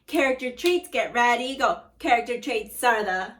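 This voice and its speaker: A woman teacher reading a storybook aloud to young children, with animated call-and-response prompts. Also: fairly high pitch, moderate pace, echoey room. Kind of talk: read-aloud lesson for children.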